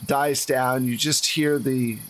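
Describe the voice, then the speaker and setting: A man's voice: medium pitch, steady tone, slow pace, very noisy. A man acting as game master, improvising tabletop game narration in a conversational way.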